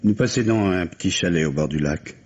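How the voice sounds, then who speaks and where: An actor playing a grave, bitter widower in a French mystery radio play, with measured, dramatic delivery. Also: male voice, low voice, darkish tone, husky. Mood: courteous, preoccupied. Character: grieving, bitter New York widower hunting his wife's killer. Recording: dull, hissy vintage radio recording.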